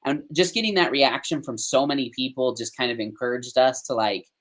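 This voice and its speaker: A man's voice: medium pitch, brisk, a bit bright. A man speaking as an interview guest, casually explaining things in conversation. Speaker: interview guest, animation studio co-founder.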